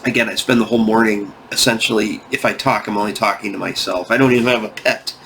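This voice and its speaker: A man from the United States hosting a comic book video, rambling casually, tired and going off on tangents, with some gravel in his voice. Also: fairly low pitch, steady tone, quick pace, fairly bright tone, a little hiss or hum, poor audio. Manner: meandering, restarts often, goes off on tangents. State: tired, yawning.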